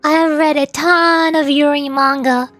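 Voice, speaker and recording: High-voiced, rambling young woman streamer. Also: steady tone, unhurried, fairly bright tone, dry recording, soft background music. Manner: rambling and hesitant, with many fillers and restarts. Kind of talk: casual live-stream chat.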